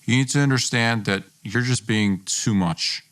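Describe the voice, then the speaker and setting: A man's voice: mid-range voice, moderate pace, fairly bright tone, pitched below the performer's own voice, close to an everyday voice. A man voicing a stern, confrontational young best friend in a told story, blunt and insistent.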